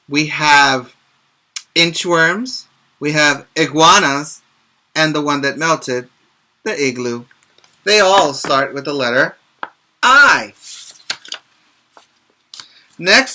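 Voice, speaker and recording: A male teacher with a very bright voice reads a storybook aloud to preschoolers, pausing to explain and to ask the children questions. He talks very slowly and clearly, pitched slightly high, as if speaking to a virtual class. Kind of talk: reading a picture book aloud and teaching letter sounds to small children. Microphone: home video recording for virtual class.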